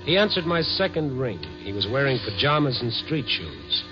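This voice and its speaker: An American actor playing a weary, tough newspaper reporter with a wry, clipped manner in a 1950s radio drama. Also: male voice, mid-range voice, very animated, moderate pace, over music, natural voice. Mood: firm, weary. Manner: wry, clipped, hard-boiled. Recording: dull, noisy old radio recording.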